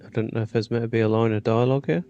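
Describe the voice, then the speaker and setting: A man's voice: medium pitch, fairly even intonation, very fast, mellow, dull audio, faint music behind. A man casually commenting while streaming a game, wry and self-deprecating.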